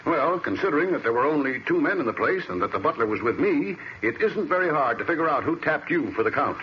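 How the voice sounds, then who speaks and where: Dry american doc, talks fast, lightish voice, old radio drama, muffled. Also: male voice, on the high side, big pitch swings. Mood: dry, resigned. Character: dry, resigned male doctor held captive. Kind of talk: 1940s radio acting.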